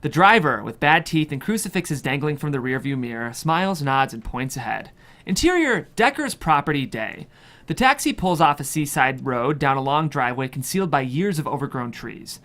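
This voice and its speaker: A man's voice: on the high side, animated, moderate pace, a bit bright. A man reading a screenplay's stage directions as scripted narration. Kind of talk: scripted narration at a table read.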